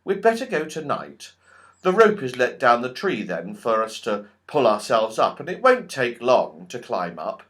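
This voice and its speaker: A man's voice: medium pitch, wildly swooping pitch, a little slow, a bit bright. A man voicing a bold young boy in a children's storybook, speaking emphatically and plainly. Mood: brisk, earnest.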